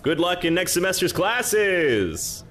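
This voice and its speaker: A man playing a dry, exacting law professor in an audio comedy drama, speaking in a measured, formal, wry way. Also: high-pitched, sing-song, a bit bright, full character voice. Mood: warm, formal.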